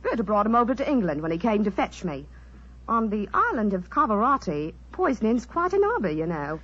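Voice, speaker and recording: A woman's voice: mid-range voice, sing-song, fairly quick, a bit bright, husky. A woman playing a breezy, frank chorus girl turned island queen, chatty and candid, in 1940s radio style. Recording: dull vintage 1940s radio recording.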